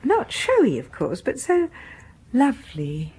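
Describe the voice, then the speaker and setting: An actress in a radio horror drama playing a timid, eager middle-aged English spinster, breathy, apologetic and halting in manner. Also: female voice, high voice, wildly swooping pitch, a little mellow, exaggerated voice. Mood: shy, eager. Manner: flustered, deferential, halting.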